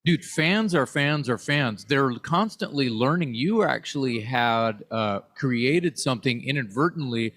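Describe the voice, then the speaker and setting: Male podcast co-host, mid-pitched, jokey and opinionated, dry close sound. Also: animated, unhurried, few pauses, a bit bright, dry recording. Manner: jokey, chimes in with quips and opinions. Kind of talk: casual conversation.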